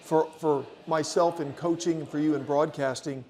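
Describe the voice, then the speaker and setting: Man hosting, higher-pitched and encouraging. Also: fairly even intonation, darkish tone. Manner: encouraging, quick affirmations. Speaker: host, football coach. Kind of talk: casual interview.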